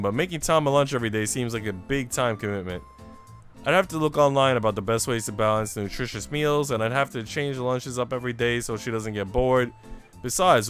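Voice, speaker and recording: A man streaming a visual novel, moving between story narration and casual chat with viewers. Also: mid-range voice. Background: soft background music.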